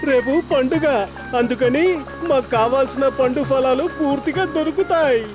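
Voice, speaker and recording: Woman as cartoon wheedler, high voice, dubbed kids' show, loud music. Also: normal speed, natural voice. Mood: wheedling, pleased. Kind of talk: dubbed children's cartoon acting.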